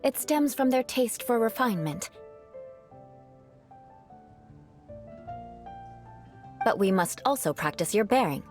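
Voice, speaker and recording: A woman's voice: on the high side, expressive, fairly quick, a little mellow, soft background music, lightly acted. Woman playing a poised aristocratic lady knight. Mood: poised, wry. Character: proud, refined young noblewoman knight, composed and wry. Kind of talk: video game character acting.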